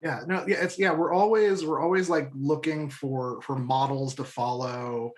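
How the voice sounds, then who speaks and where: A man's voice: fairly high pitch, normal speed. A man chatting casually on a panel in a loose, rambling, joking way. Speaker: panel participant. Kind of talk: casual chat.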